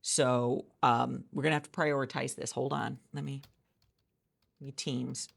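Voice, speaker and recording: A woman doing a soft-spoken ASMR roleplay as a hiring manager, chatty and rambling with many restarts, recorded in a friend's office. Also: rumbling low, little pitch movement, measured pace, vocal fry.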